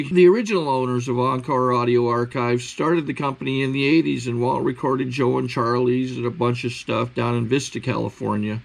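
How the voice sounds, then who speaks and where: Gravelly man introducing a channel. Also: a little high, rough recording. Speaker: archive host introducing a recorded talk. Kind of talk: casual spoken channel intro.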